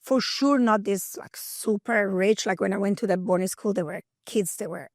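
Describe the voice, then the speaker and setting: A woman's voice: mid-range voice, moderate pace, dry recording, studio quality. A woman around fifty with an Italian accent, a guest in an interview, talking energetically and chattily and laughing often. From Italy. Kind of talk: interview conversation.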